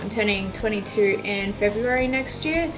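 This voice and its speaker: A woman's voice: mid-range voice, normal speed, low-quality recording. Chatty young woman over music. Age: 20s. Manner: chatty, hesitant with many likes and ums. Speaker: YouTube musician. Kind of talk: casual Q and A vlog.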